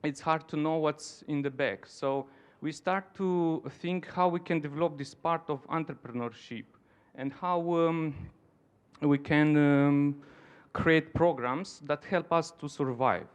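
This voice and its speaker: A man's voice: a little high, measured pace, clear, resonant tone. A man from Romania with a Romanian accent, speaking non-native English in an informal conference talk, searching for his words as he goes. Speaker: dance centre founder, conference participant.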